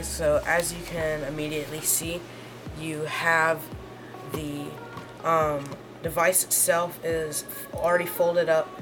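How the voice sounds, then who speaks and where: Hoarse low-voiced woman reviewing gadgets. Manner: rambling, halting, many fillers. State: hoarse, under the weather. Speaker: tech reviewer. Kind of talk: casual unboxing review.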